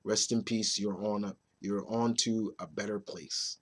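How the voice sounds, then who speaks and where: A man in his thirties with a slightly raspy, under-the-weather voice, giving a casual, halting movie review to viewers and repeating phrases for emphasis. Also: lowish voice, steady tone, fairly bright tone. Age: 30s. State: mildly under the weather with a nagging cough.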